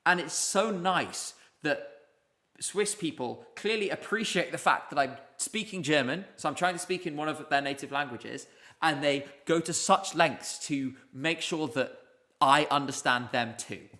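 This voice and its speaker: A man's voice: fairly high pitch, a little slow, pushed and bright. A man hosting a live stream, chatting casually and rambling with many ums as he reads out viewer comments. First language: English. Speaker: livestream host. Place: indoors, streaming from Basel.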